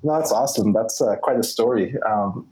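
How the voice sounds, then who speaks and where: A man's voice: fairly low pitch, moderate pace, a little hiss or hum, rough recording. A man hosting a podcast interview, asking questions with many "uh" fillers. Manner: relaxed, lots of "uh" fillers. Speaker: podcast host. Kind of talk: interview host.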